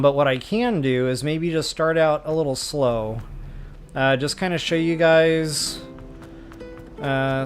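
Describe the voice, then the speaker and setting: Slightly high-voiced chatty gamer. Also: male voice. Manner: chatty, informal, rambling. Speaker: gameplay video host. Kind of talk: casual walkthrough commentary.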